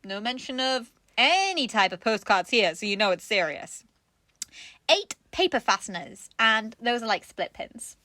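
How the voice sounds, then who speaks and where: A woman with a high voice that moves around a lot, chatting casually as co-host of a craft show. She sounds giggly and amused, and the sound is close and dry. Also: big pitch swings, measured pace, pushed and bright, dry recording. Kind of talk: casual, jokey chat.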